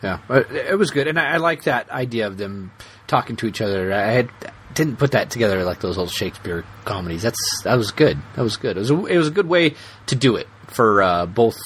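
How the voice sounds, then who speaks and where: Male podcast co-host, conversational and jokey, animated intonation, thinking aloud, faint background noise. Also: on the low side, fairly quick. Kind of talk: casual, joking chat.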